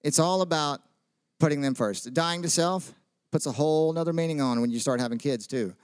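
A man's voice: a little high, a little fast, clean tone, no room sound, clean studio sound. A man, preaching a casual sermon full of jokes, questions to the audience and personal stories. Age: 30s. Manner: chatty, joking, asks the audience questions.

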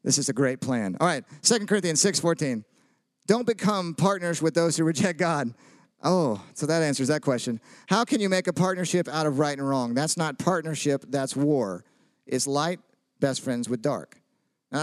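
A man's voice: on the high side, lively intonation, normal speed, no room sound, studio quality. A man, preaching a casual sermon full of jokes, questions to the audience and personal stories. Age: 30s. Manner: chatty, joking, asks the audience questions.

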